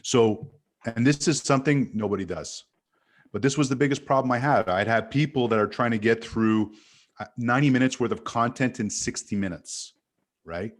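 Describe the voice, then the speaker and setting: A man from Montreal, an animated guest who tells stories and corrects himself as he chats on a video call. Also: mid-range voice, normal speed. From Canada. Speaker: guest sales speaker. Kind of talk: casual video-call chat.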